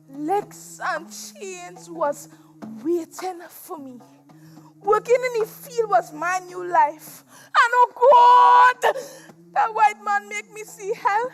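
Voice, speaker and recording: High-pitched, anguished Trinidadian chained spirit played by a woman. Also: a bit bright, heavily acted. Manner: theatrical, anguished, deliberate, drawn-out delivery. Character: embittered chained former slave turned restless lagahu spirit, preaching warnings. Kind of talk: dramatic spoken-word stage monologue in rhyme. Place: stage at a competition in a performance hall. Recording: live stage performance with music.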